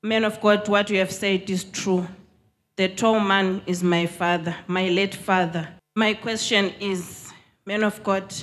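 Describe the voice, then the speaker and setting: A woman with a Zimbabwean accent giving public testimony on a handheld microphone, pausing between short phrases. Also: fairly low pitch. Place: large hall with congregation.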